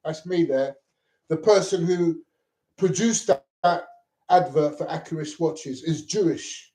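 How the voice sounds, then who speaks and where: A man's voice: fairly high pitch, measured pace, warm tone. Agitated middle-aged man, echoey room. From Lebanon. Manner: agitated, repetitive, swears. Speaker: vlogger. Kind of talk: rambling personal monologue to camera.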